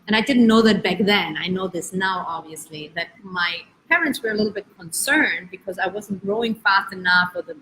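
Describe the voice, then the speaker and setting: A woman's voice: mid-range voice, a bit bright, a little hiss or hum, rough recording. Earnest coach woman from Spain. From Spain, raised in Germany. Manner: earnest, encouraging, uneven pace with drawn-out words. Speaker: life coach. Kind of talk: live-streamed motivational talk.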